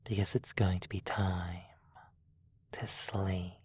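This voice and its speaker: A woman playing a seductive, predatory vampire in a hypnosis roleplay, slow and hushed, often slipping into breathy whispers. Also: a bass voice, little pitch movement, full character voice. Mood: hypnotic, commanding. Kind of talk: sleep hypnosis roleplay, ASMR.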